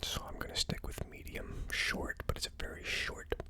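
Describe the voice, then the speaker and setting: Whispering ASMR whiskey reviewer. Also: normal speed. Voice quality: breathy, unvoiced whisper. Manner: hesitant, thinking aloud. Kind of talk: ASMR whispered tasting commentary.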